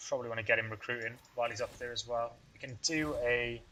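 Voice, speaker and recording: Bright-voiced man thinking aloud through a game. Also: medium pitch, steady tone, quick pace, slight background noise, low-quality recording. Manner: rambling, thinks aloud, self-deprecating. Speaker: let's play host. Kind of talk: casual gameplay commentary.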